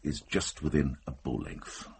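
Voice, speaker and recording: An actor playing a wise, determined middle-aged monk and former soldier in a radio drama, measured, thoughtful and dryly understated. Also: male voice, a bass voice, animated, creaky, darkish tone, slight background noise, full character voice. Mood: urgent, strategic.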